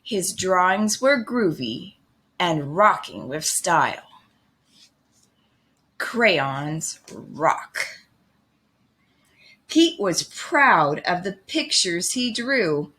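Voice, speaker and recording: Slow, bright woman reading a children's storybook. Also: fairly low pitch. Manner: slow, expressive, deliberate phrasing. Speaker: storybook reader. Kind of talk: animated children's picture book read-aloud.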